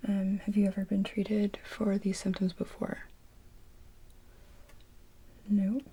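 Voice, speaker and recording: A woman whispering gently in an ASMR medical roleplay as a receptionist, asking orderly questions in short phrases. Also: mid-range voice, monotone, takes it slowly, slight background noise.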